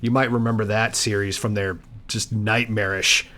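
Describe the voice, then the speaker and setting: A man's voice: on the low side, flat delivery, moderate pace, pushed and bright, slight background noise. A man talking casually and conversationally as the co-host of a podcast.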